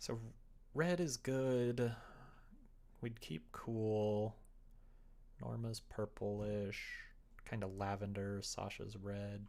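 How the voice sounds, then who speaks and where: Slow, creaky man muttering while he works. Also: fairly low pitch. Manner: wry, muttering to self while working. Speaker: live streamer developing a visual novel. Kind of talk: casual coding livestream chat, thinking aloud.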